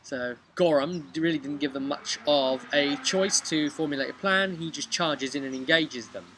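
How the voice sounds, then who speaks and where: A young man casually recapping a tabletop game as game master, halting at times and emphatic, recorded outdoors. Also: on the high side, fairly bright tone. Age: young adult. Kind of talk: casual vlog storytelling. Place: outdoors on a bench by a brick house. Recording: outdoor webcam with background noise.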